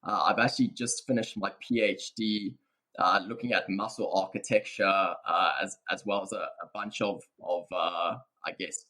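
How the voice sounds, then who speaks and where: A man's voice: low-pitched, bright, forceful tone, rough recording. A young man with a South African accent, a researcher answering podcast interview questions in a thoughtful, hedging way. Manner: thoughtful, hedging with many fillers. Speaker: guest researcher.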